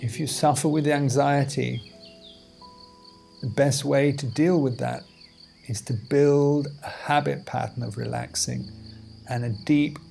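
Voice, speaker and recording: A man leading a guided meditation as a teacher, in measured, deliberate phrases with pauses between them. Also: medium pitch, steady tone, gravelly, warm tone. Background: music and outdoor insect sounds.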